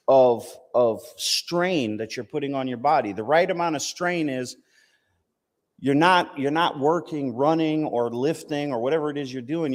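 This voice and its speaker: An American man giving an informal religious talk in a conversational way, often checking in with his listeners. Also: fairly high pitch. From United States, Southern family from West Virginia, partly raised in Palestine. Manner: conversational, punctuated with "you know" and "right?" checks. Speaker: Islamic teacher giving a talk. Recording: reverberant hall.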